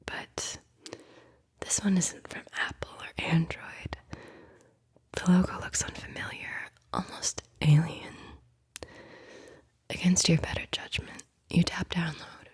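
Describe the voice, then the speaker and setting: ASMR host: woman, low breathy whisper, playful and teasing, close mic. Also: fairly even intonation, slow, long pauses, a little mellow. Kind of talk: whispered ASMR guided visualization.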